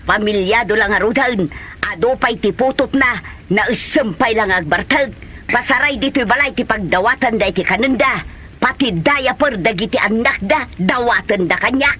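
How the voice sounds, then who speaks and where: Woman acting a sharp-voiced, nagging Ilocano mother. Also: on the high side, moderate pace, breathy edge. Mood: grumbling. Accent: Filipino Ilocano. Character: nagging, weary mother of a poor household. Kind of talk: comedy drama acting.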